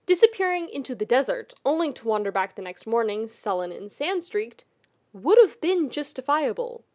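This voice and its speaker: A woman reading fiction as a dramatic, emotive narrator, measured and with deliberate pauses. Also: on the high side, very animated, a little mellow. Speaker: podfic narrator.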